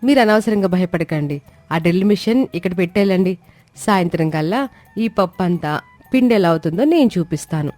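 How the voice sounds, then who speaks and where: A woman's voice: on the low side, expressive, brisk, dark tone, no room sound, natural voice. A woman voicing a clever, lazy young daughter-in-law in a cartoon story, explaining herself in a pleading yet self-assured way. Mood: scheming, confident. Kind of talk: acted dialogue in an animated moral story. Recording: music behind the voice. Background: music.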